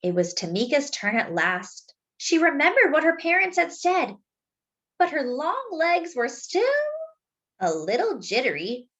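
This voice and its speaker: A woman reading a children's story aloud expressively, phrasing deliberately as if to young listeners. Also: sits high, sing-song, a bit bright, muffled recording. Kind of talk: expressive picture-book read-aloud with asides to young listeners.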